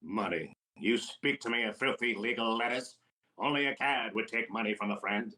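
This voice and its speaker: An actor playing a brash, fast-talking wisecracking comedian in a deadpan 1940s radio comedy style. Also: male voice, lowish voice.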